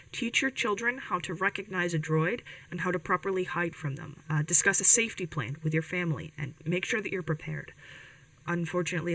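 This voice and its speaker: A woman's voice: deep voice, fairly bright tone, no room sound, far from the mic, rough recording. A woman playing an earnest, protective young rebel radio host in an audio drama, speaking hesitantly and directly to listeners. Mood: cautionary. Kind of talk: podcast audio drama, conversational radio monologue.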